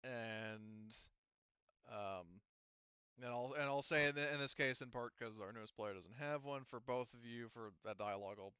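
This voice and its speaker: A man's voice: medium pitch, moderate pace, sharp tone, clean tone, dry recording, dull audio. A man running a tabletop roleplaying game as game master, explaining things casually.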